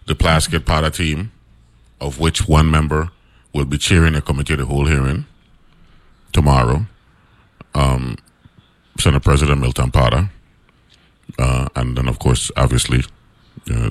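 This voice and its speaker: Radio host from the Virgin Islands: very deep baritone man, dry close studio sound, loose conversational monologue. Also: no room sound. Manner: conversational, many fillers and "right?" tags. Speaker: radio talk show host.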